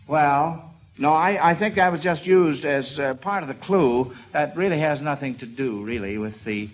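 A man's voice: on the high side, normal speed. A man hosting an old-time radio quiz for children, speaking with hesitations in a vintage broadcast recording. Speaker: quiz show host.

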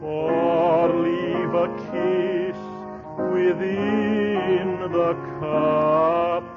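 A woman singing a slow classical song on a vintage radio broadcast. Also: fairly low pitch, steady tone. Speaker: singer. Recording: dull vintage radio recording. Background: loud music.

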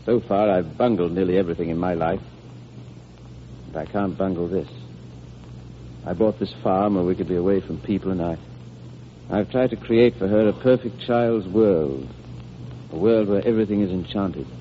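A man playing a devoted, sorrowful father in a vintage American radio drama, speaking earnestly and tenderly, with a halting delivery. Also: sits low, natural voice. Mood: grave, halting. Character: devoted, sorrowful father shielding a dying child with fairy tales. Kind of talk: 1930s-40s American radio drama acting. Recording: muffled old radio recording with hiss.

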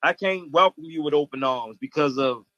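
A man's voice: a little high, moderate pace, sharp tone, dry recording. A man in casual chat, telling a story in a loose, conversational way. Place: studio in Baltimore. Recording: dull lo-fi sound, dry.

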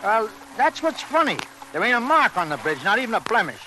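Male cop sidekick, high-voiced, wordy and folksy, streetwise talk, vintage radio crime drama with clear noise. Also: big pitch swings, brisk, bright, forceful tone, full character voice. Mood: explaining. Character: folksy, talkative, deferential male city police sidekick. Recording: noisy old radio drama recording.

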